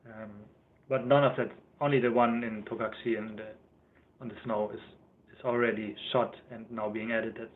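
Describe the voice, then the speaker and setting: A man's voice: lowish voice, little pitch movement, normal speed. A young man with a German accent chatting casually on a livestream, rambling and correcting himself as he answers viewers' questions. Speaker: YouTuber. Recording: thin phone-like livestream audio.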